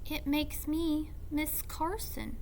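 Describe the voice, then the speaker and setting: A woman's voice: high voice, flat delivery, takes it slowly, a little mellow, a little hiss or hum, voice pushed up. A woman voicing a timid, sensitive, easily saddened young girl in a storybook, polite and a little hesitant. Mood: upset.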